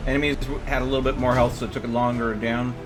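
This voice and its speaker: A man's voice: mid-range voice, level tone, fast talker. A man giving casual game commentary, thinking aloud and often repeating himself. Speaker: gaming streamer. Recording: loud game audio behind voice. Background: video game music and sound effects.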